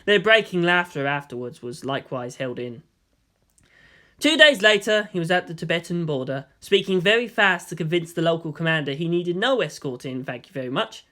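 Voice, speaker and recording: A man's voice: a little high, lively intonation, moderate pace, bright, forceful tone. A man reading fan fiction aloud as scripted audiobook narration.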